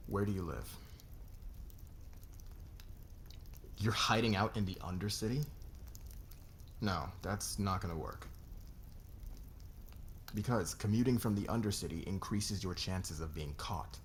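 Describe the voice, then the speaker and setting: Man acting a deep gravelly commanding boss. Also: a little hiss or hum. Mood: firm, possessive. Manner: measured, commanding, deliberate. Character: demanding, controlling male boss, a powerful calm lord. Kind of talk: ASMR roleplay voice acting.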